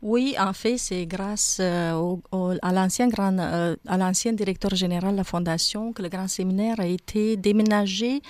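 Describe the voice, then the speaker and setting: A woman in her forties with a Romanian accent, speaking French as a guest on a radio interview. She answers in a careful, measured way and often pauses with small fillers. Her voice sits at a middle pitch and sounds dry and close to the microphone. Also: steady tone, a little mellow, no echo. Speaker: foundation director, guest.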